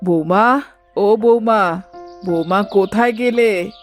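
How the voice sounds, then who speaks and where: A woman's voice: medium pitch, slow pace, faint music behind, lightly acted. Woman as a bossy older village mother-in-law. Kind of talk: cartoon story voice acting.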